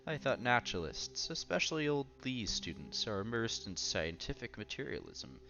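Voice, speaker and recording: A man voicing a reserved, polite elderly gentleman from a visual novel, speaking in a measured, halting way. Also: mid-range voice, very animated, highs cut off. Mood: amused.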